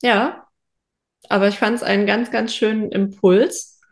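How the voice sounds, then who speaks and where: A woman's voice: mid-range voice, fairly even intonation, measured pace. A woman co-hosting a podcast, chatting casually and reflectively, thinking aloud as she goes. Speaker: podcast co-host. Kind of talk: casual conversational podcast chat.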